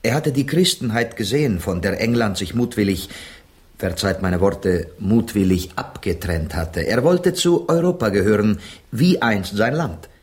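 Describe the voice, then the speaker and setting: A low, very animated male voice, an actor's as a courtly Spanish poet in a radio play. He reasons philosophically and politely, a skeptic who slips Spanish phrases into his speech. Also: moderate pace, close to an everyday voice. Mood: earnest, reflective. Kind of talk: literary radio drama acting in German.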